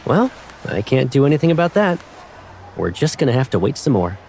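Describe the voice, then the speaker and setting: A man's voice: mid-range voice, very animated, brisk, darkish tone, a little hiss or hum. A man playing a teasing, affectionate young man, a protective childhood friend, in a fan dub of a romance game. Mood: fond, teasing. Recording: faint music behind.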